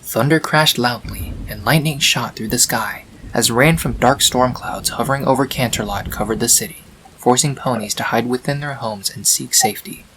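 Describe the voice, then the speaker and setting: A man narrating a scripted fan-fiction story in a measured, dramatic storytelling manner. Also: mid-range voice, fairly bright tone, low-quality recording. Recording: faint hiss on home recording.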